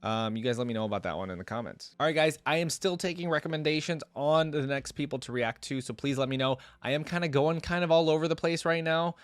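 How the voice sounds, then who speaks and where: A man's voice: fairly high pitch, quick pace, hardly any pauses, clean tone, no echo. A man hosting a reaction video, giving informal, conversational commentary straight to viewers.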